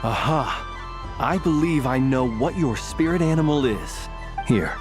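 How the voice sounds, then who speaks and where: A whimsical talking lantern voiced by a man speaks with elaborate, gracious courtesy. The pitch moves in lively theatrical sweeps, in video game style, with music clearly playing behind. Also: unhurried, close to an everyday voice. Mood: warmly gracious.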